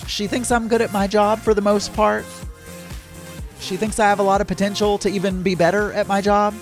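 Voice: mid-range voice, flat delivery, brisk, faint music behind. A podcast host with a Southern US accent, chatting casually and candidly on their own, often breaking off mid-sentence and starting again. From American South. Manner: rambling, self-interrupting, chatty and candid. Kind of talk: casual solo podcast chat.

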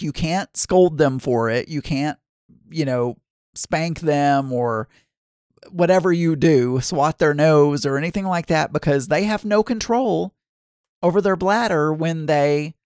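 Chatty Southern man, higher voice. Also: a little mellow, clear, resonant tone, dry recording, studio quality. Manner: rambling, chatty, frequent self-corrections. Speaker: vlogger. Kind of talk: casual chat.

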